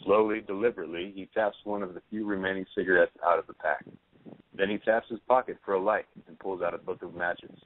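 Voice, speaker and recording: A man with a fairly low voice reads stage directions as scripted narration. The audio is narrow and tinny, like a phone line. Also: fairly even intonation, fast talker.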